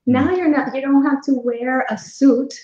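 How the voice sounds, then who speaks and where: A woman's voice: high-pitched, fairly even intonation, normal speed. Woman, speaking coach, interview guest, storytelling, echoey room, muffled sound. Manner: warm storytelling, frequent affirming murmurs. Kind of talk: casual interview chat. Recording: echoey, dull remote audio.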